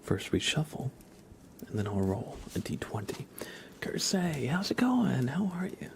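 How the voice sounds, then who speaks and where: A man hosting an ASMR livestream, chatting softly and casually in a rambling way, often slipping into a whisper. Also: medium pitch, sing-song, unhurried, creaky, slight background noise. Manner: casual, rambling, hesitant with frequent "like". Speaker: livestream host. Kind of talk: soft-spoken ASMR livestream, casual chat.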